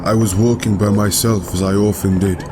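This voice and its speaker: A man playing a solemn, resentful doctor devoted to a moon god in a comic dub, speaking in formal, measured phrases. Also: lowish voice, fairly even intonation, natural voice. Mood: somber reflective. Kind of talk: dramatic comic dub monologue. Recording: loud music under the voice.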